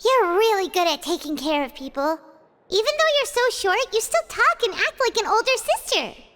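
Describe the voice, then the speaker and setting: Tiny cheerful game fairy: extremely high, swooping childlike squeak, always hungry, music behind. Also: female voice, expressive, moderate pace, a bit bright. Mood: curious. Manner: speaks of self in third person, exclaiming. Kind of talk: video game voice acting.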